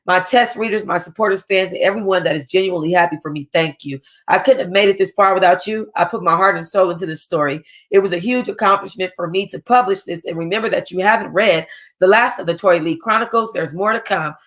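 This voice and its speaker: A woman's voice: fairly low pitch, quick pace, fairly bright tone. A woman book club host reading a written text aloud.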